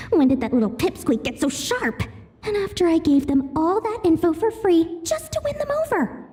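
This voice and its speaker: A woman's voice: very high voice, sing-song, normal speed, mellow, no echo. A woman voicing a greedy, chatty young female merchant in a video game, talking in a theatrical, salesy way. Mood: flustered. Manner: theatrical, salesy, self-promoting. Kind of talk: video game character acting. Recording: music under the voice.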